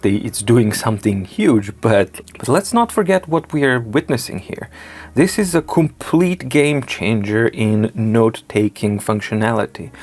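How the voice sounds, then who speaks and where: Man, Serbian native speaker, tech reviewer, mid-range pitch, explanatory, measured, enthusiastic. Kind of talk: screen demo walkthrough.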